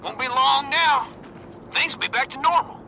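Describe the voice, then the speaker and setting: Hyped folksy paranoid slacker sidekick in a game, high pitched, noisy behind. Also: male voice, normal speed, full character voice. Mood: upbeat. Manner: animated, folksy, excitable. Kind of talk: video game cutscene acting. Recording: clear background noise.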